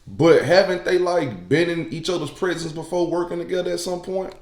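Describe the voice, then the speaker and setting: Casting: male reaction commentator, slightly high voice, conversational and slangy, checking that listeners follow. Roomy, echoing recording. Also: a little fast, a little mellow. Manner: conversational, slangy, seeks agreement. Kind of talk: casual reaction chat.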